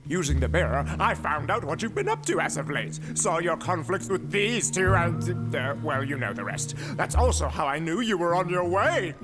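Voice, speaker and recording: A man's voice: a little high, sing-song, normal speed, hardly any pauses, poor audio. A man playing a gloating, greedy supervillain in a comedic audio drama, with pompous, grandiose and mock-polite delivery. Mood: smug explaining. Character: gloating, theatrical male supervillain, greedy schemer. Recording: loud music bed under the voice. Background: music.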